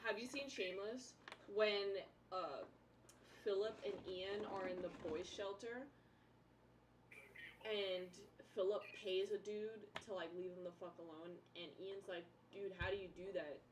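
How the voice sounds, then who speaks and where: A woman's voice: mid-range voice, steady tone, a little slow. A young woman sits a little away from the microphone, with some noise around her. She chats and tells stories casually, using emphatic slang and swearing. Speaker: livestreamer. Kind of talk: casual chat, storytelling. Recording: distant from mic, background noise.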